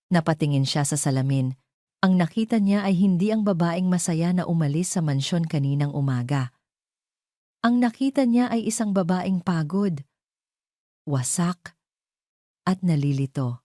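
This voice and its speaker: A woman reading a scripted romance drama as its narrator, in a measured, solemn storytelling manner. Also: sits low, warm tone, no echo, studio quality.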